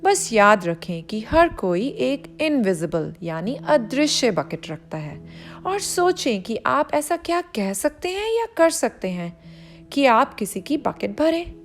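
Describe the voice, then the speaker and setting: A woman's voice: on the high side, very animated, takes it slowly, soft background music. A woman reading a picture book aloud to children, explaining as she goes and speaking straight to the young listener. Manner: explanatory, addresses the child listener directly, glosses words. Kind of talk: expressive read-aloud narration for children.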